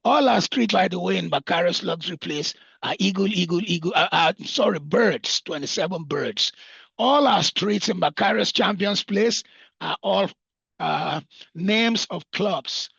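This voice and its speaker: A Nigerian man with a high-pitched voice that is husky and rough at the edges. He talks as a developer pitching his estate unscripted, driving points home with repetition and lists. The recording is dry and somewhat dull. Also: measured pace, fairly bright tone, dry recording. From northern Nigeria. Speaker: property developer presenting an estate. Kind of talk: unscripted promotional talk at a roundtable.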